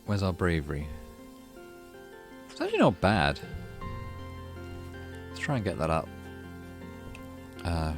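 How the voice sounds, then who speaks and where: A man with a low voice and lively intonation narrates a game's story. He slips between expressive reading and offhand let's play commentary, with faint music behind him. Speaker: gaming channel host.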